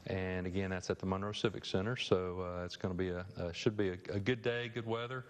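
A middle-aged man speaking as a guest on a local TV show, halting and full of fillers, on a lapel mic. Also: low voice, fairly even intonation, big-room sound. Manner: hesitant, many uh fillers. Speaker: bank representative guest. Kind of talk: live TV interview. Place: TV studio set.

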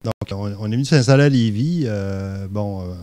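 A middle-aged man from Saguenay with a Quebec French accent speaks as a radio guest. His voice is low and recorded close and dry in a small studio. He halts and restarts often, filling gaps with hesitations. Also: mellow, no echo. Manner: halting, many fillers and restarts. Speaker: guest, municipal candidate. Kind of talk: radio interview. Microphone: studio mic on stand.

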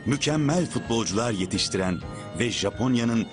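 A man's voice: medium pitch, lively intonation, normal speed, natural voice. A man narrating a children's football cartoon as a scripted storyteller. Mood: storytelling. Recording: music behind the voice.

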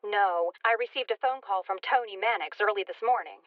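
A woman's voice: mid-range voice, fairly quick. A woman playing a concerned actress reporting news over a filtered telephone line in an audio drama. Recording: dull, dry telephone-filtered sound.

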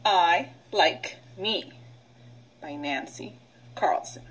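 A middle-aged woman, a preschool teacher, leading a read-aloud vocabulary lesson in an instructional way, pausing for children to repeat. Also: lowish voice, a little hiss or hum. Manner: instructional, prompting with pauses for repetition.

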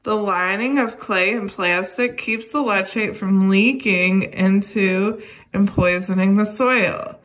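A young adult woman reading a children's educational book aloud, addressing young listeners in a deliberate way. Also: medium pitch, a bit bright, rough recording. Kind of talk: read-aloud children's lesson.

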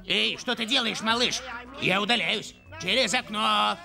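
An actor voicing a scruffy, greedy male street cat with a gravelly, cheeky and sarcastic delivery in a cartoon. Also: sits high, a little slow, over music, full character voice. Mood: grumbling. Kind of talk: cartoon dub.

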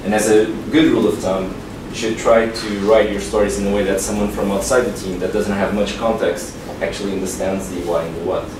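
A man's voice: lowish voice, flat delivery, fairly quick, few pauses. A man presenting at a tech meetup, explaining steadily. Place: large meeting room with projector. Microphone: far room mic. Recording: echoey room from far off, noisy.

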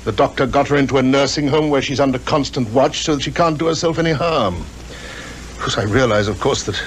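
A man plays a troubled British gentleman in a 1950s radio drama. He is middle-aged, polite and a little hesitant. The old recording has a faint layer of noise. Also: medium pitch, fairly quick, natural voice. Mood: troubled, earnest. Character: anxious upper-class English father, polite and troubled.

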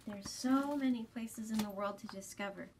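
A woman in her thirties hosting a children's storytime, explaining gently and deliberately in a slightly breathy voice. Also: mid-range voice, slight background noise. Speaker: children's storytime host at a library. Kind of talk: children's storytime reading and talk. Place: small library space, indoors.

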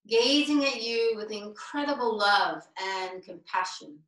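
A woman leading a guided meditation as a teacher, speaking deliberately in short, spaced-out phrases over a video call. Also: mid-range voice, animated, fairly bright tone. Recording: roomy, distant video-call microphone.

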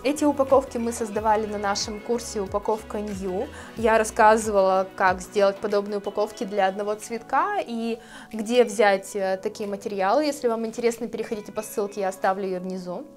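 A woman in her thirties, a florist, calmly explaining her steps in a tutorial, speaking gently to her viewers. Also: medium pitch, brisk, faint music behind. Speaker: florist teaching a course. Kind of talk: calm tutorial narration. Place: indoor studio with plants. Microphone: lapel mic.

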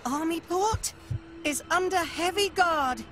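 A woman's voice: very high-pitched, slow pace, noisy background. A woman voicing a small, round, furry male creature inventor in a game, eager and cheeky, with childlike broken speech. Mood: eager, secretive. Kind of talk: video game cutscene acting. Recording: loud music behind the voice.

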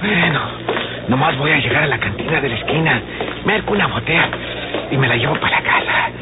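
An actor playing a folksy, grumbling Mexican drunkard in an old radio drama, muttering and exclaiming colloquially. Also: male voice, medium pitch, lively intonation, brisk, loud music behind the voice, natural voice. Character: grumbling folksy drunkard man out hunting for liquor. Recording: tinny, very noisy old radio recording.

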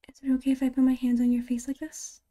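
A woman playing a caring, reassuring girlfriend in an ASMR roleplay, soft-spoken and breathy, close to a whisper, with halting, tender delivery. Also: high voice, completely flat delivery, close to an everyday voice. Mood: gentle concern.

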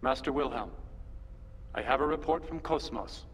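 A man's voice: mid-range voice, normal speed, a bit bright, husky. Formal male subordinate, echoing hall. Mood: dutiful. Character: formal, dutiful male subordinate reporting to master. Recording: reverberant hall, faint background noise.

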